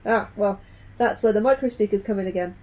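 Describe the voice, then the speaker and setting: A woman playing a gentle, anxious, nerdy scientist in an audio drama, speaking earnestly and a little hesitantly. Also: medium pitch, a little fast, close to an everyday voice. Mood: keen, geeky. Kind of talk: naturalistic audio drama acting.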